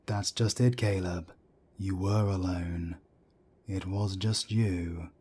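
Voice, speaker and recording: A man playing a wry, world-weary werewolf council elder in an audio roleplay drama, speaking in a measured, deliberate way. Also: fairly even intonation, noisy background. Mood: grave, instructive. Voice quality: deep, husky.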